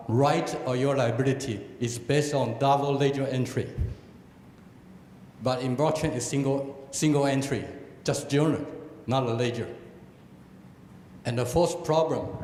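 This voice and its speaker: Slow-spoken man with a Taiwanese accent. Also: a little mellow. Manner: slow, careful, searching for words. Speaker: audience member asking a question. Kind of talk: halting question from the floor. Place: conference hall. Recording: echoey hall with faint rumble.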